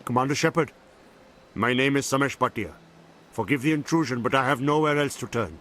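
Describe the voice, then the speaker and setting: A man's voice: medium pitch, fairly quick, fairly bright tone, natural voice. An actor playing a formal, deferential man pleading for help in a video game. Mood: pleading, subdued. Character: polite, desperate male widower pleading for help. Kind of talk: cinematic video game acting.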